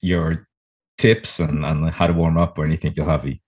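Co-host, male, very deep voice, easygoing listener who asks questions. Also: little pitch movement, moderate pace, a little mellow, husky. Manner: brief listening replies and questions. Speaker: podcast co-host. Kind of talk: casual chat.

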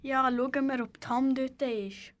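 In an amateur radio play, a young female performer voices a worried but practical girl. She has a high voice and a Swiss German accent. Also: steady tone, takes it slowly.

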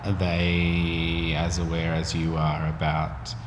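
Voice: low-pitched, monotone, slow. An interviewer asking probing questions in casual talk and reframing them as they go. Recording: reverberant room with clear noise.

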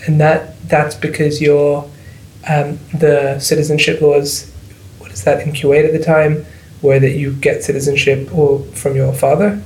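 A man hosting a podcast asks questions in a fairly high but flat, gravelly voice. He hesitates and restarts as he asks. The sound is roomy and echoey. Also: measured pace. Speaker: podcast host. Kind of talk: interview questions. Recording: echoey room.

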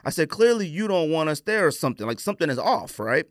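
A man's voice: a little high, very animated, quick pace, dry recording, clean studio sound. A man hosting a podcast, telling stories in a casual, colloquial, opinionated way and acting out quoted exchanges. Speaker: podcast host.

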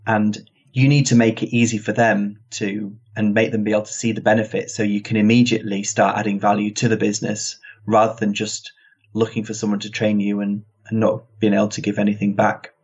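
A man's voice: lowish voice, little pitch movement, brisk, a little mellow, breathy edge. A man with a British accent in an easygoing, reflective casual chat. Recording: dull lo-fi sound.

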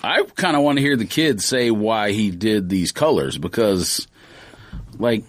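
A man's voice: fairly low pitch, expressive, measured pace. A man co-hosting a sneaker podcast, talking casually and informally as he explains his opinions. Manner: informal, slangy.

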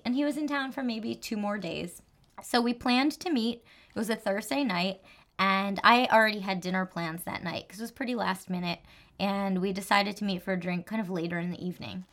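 A woman from New Jersey with a slight New Jersey accent, a dating coach telling a story in an expressive, animated way in casual chat. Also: medium pitch, brisk.

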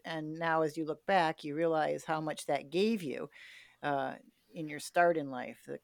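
A woman with a low voice hosts an interview in a measured, conversational way. She recaps and explains points carefully for listeners, and the sound is close and dry, free of room echo. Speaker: podcast host, interviewer.

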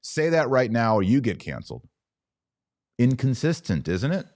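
A man delivering an opinionated podcast monologue as host, speaking emphatically with rhetorical questions and dramatic pauses. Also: medium pitch, big pitch swings, darkish tone, dry recording, clean studio sound.

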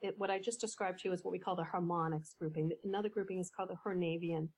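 Woman coach on a podcast, lowish voice, calm steady explaining. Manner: measured, explanatory, with fillers. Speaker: leadership coach, podcast guest. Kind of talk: podcast interview.

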